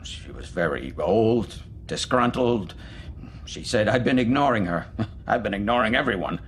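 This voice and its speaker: A man's voice: lowish voice, animated, normal speed, a little hiss or hum, close to an everyday voice. An actor as a plaintive, swooping reclusive hermit man. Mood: plaintive, weary. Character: reclusive misanthropic hermit man, anxious and lonely. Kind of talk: audio drama acting.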